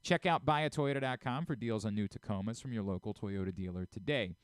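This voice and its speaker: A man's voice: fairly low pitch, a little fast, clean tone, no echo. A man hosting sports radio in a casual, talkative style, on a close studio microphone. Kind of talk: casual sports radio talk, sponsor reads.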